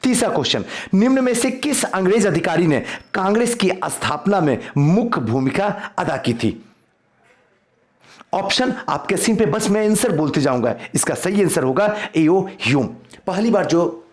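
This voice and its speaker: Male coaching teacher. Slightly high pitch with big swings, emphatic revision-quiz delivery addressed to students. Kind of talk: quiz-style lecture for exam revision.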